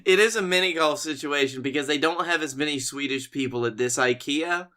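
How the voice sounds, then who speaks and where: A man co-hosting a comedy podcast, telling casual stories in an animated, playfully exaggerated way. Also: fairly high pitch, a little fast. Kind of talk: casual comic storytelling.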